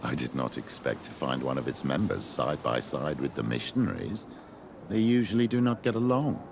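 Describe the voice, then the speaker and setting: An actor playing a shrewd older churchman in a video game, dry, deliberate and skeptical. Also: a bass voice, animated, gravelly, slight background noise, full character voice. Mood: wryly suspicious. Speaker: companion.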